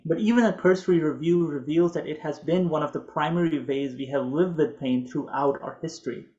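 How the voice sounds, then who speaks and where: A man in his thirties whose first language is Urdu, reading a prepared talk in a measured, earnest way over a video call from a home office. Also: on the high side, darkish tone, muffled recording. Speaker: physician presenter.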